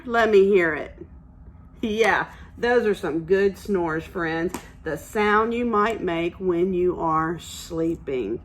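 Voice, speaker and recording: A woman teacher reading aloud to young children, explaining simply and asking encouraging questions as she goes. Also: on the low side. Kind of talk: interactive read-aloud lesson for young children.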